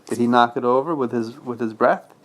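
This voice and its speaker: A man's voice: medium pitch, brisk. A man reading a bedtime story as a father to his child, patiently explaining in simple words with check-in questions.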